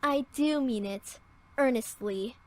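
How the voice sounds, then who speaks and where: A woman's voice: fairly high pitch, slow. A woman voicing a snide, falsely sweet teenage mean girl in a visual novel.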